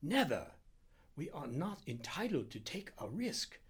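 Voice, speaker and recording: A man voicing an anxious, precise little Indian doctor in an audiobook, speaking in an urgent and deliberate way. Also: a little high, sing-song, voice pushed up, exaggerated voice. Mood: tense, cautioning. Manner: urgent, halting, deliberate, breathy at times. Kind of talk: audiobook character dialogue.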